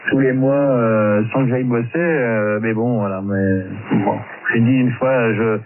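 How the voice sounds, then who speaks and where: Medium-pitched man on tinny ham radio. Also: steady tone, unhurried, a little hiss or hum. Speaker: amateur radio operator. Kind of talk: casual ham radio chat. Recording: tinny band-limited radio transmission.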